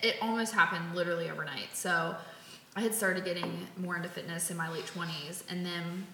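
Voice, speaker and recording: A woman's voice: on the low side, fairly even intonation, fairly quick, bright, forceful tone, a little hiss or hum. A woman in her late thirties, chatting casually in an interview, full of fillers and restarts. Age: 30s. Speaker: interviewee, community volunteer. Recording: roomy echo.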